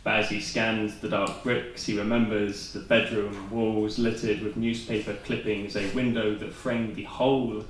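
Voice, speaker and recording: A man's voice: on the low side, level tone, unhurried, hardly any pauses, slight background noise. A Welsh man in his twenties performing spoken word poetry at a slam, with dry, self-deprecating humor between pieces. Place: live performance venue. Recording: very echoey room recorded from a distance.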